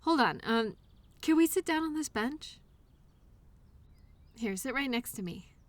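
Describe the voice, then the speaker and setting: A woman playing an innocent, childlike imaginary friend in an intimate audio drama, speaking earnestly and eagerly with wistful, hesitant pauses. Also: high-pitched, lively intonation, no room sound. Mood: gentle, questioning. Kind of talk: intimate scripted audio roleplay monologue addressed to listener.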